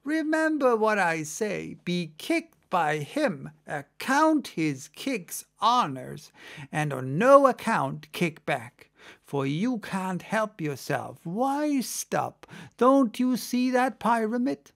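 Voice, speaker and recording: A man's voice: sits high, sing-song, takes it slowly, vocal fry, a bit bright, voice pushed up, heavily acted. A man voicing an old hunchbacked merman who mutters, argues and lectures in a storybook reading. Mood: lecturing, persuasive.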